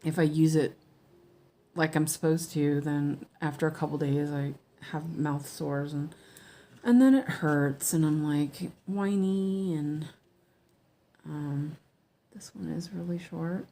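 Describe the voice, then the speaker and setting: Slow, low-voiced woman, slightly hoarse, chatty and rambling, casual home stitch-along host. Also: warm tone, a little hiss or hum. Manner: chatty, rambling, many ums and self-corrections. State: hoarse from allergies. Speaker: cross-stitch streamer.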